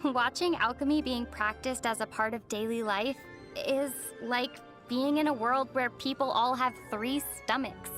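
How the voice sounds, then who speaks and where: Young female otherworldly traveler for an anime game: high, gentle, musing, faint music behind. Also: measured pace. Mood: puzzled, gently teasing. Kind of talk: scripted anime game dialogue.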